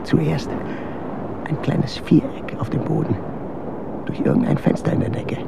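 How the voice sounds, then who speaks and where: An actor in a radio drama playing an exhausted, brooding man, murmuring a halting, bitter monologue. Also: fairly even intonation, vocal fry. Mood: brooding, wistful. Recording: loud rumbling noise around voice.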